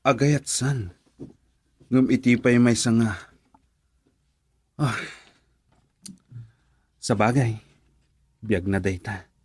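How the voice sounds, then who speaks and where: A man's voice: mid-range voice, extremely slow, long pauses, a little mellow, breathy edge, no echo. A young man with an Ilocano accent playing an easygoing, caring friend in a radio drama, polite and thinking aloud. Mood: friendly.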